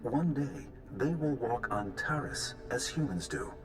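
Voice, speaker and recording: Game casting: male actor as a patient sage creature, formal, measured, mid-pitched, prominent music underneath. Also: animated, lightly acted. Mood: patient, grave. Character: wise patient male mutant creature, gentle Jedi teacher. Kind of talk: video game cutscene acting. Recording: music behind the voice.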